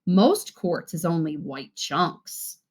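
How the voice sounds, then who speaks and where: A woman's voice: deep voice, animated, slow, heavily acted. A woman voicing a patient, kindly father who explains things in a children's story. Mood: reassuring. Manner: patient, instructive.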